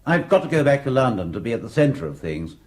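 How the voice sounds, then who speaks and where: A man's voice: medium pitch, sing-song, fast talker, close to an everyday voice. An actor playing a suave, patronizing host who keeps his plans hidden, in a radio play. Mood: genial. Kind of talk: radio drama acting.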